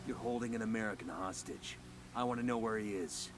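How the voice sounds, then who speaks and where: An actor playing a grim, blunt special forces squad leader with terse, commanding delivery in a game cutscene. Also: male voice, fairly low pitch, fairly quick, noisy background, lightly acted. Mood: stern, urgent. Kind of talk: video game cutscene acting.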